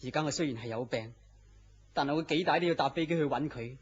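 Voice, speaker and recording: A man's voice: a little high, fast, breathy edge. A man with a Hong Kong Cantonese accent playing a weary, melancholy, lovesick young man in a radio drama, earnest and plaintive. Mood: determined, earnest. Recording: dull lo-fi radio drama audio.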